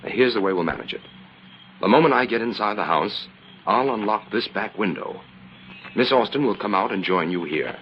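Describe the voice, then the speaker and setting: Man acting a deep-voiced confident radio hero, muffled. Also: lively intonation, normal speed, lightly acted. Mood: calm, resolute. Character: calm, confident male crime-fighting hero, reassuring and methodical. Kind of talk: old-time radio adventure acting. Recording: muffled, noisy old radio recording.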